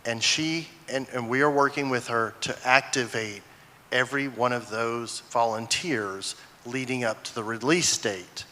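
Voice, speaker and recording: A man's voice: mid-range voice, a little slow, sharp tone, slight background noise. A man giving an informal stage talk to an audience, presenting a film. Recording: echoey large hall.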